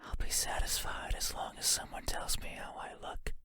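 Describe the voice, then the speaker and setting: A man voicing a lonely, yearning monster for a horror game, in a breathy whisper with a halting, intimate manner. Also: close to an everyday voice. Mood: yearning, unsettling. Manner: halting, intimate, musing, formal phrasing. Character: lonely wordless monster lurking in the dark, yearning, eerie. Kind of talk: video game horror monologue.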